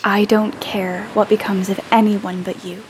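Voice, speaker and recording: A woman's voice: mid-range voice, little pitch movement, a little slow, slight background noise, lightly acted, low-quality recording. A young woman playing a devoted, stubborn older sister who refuses to accept loss in a period audio drama, urgent, emotional and insistent. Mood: desperate, determined.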